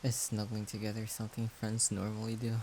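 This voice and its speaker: A man playing a caring, shy young friend in an intimate audio roleplay, soft-spoken and hesitant as he gently reassures the listener. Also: lowish voice, monotone, fairly quick, lightly acted. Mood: tender, bashful. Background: rain-like noise.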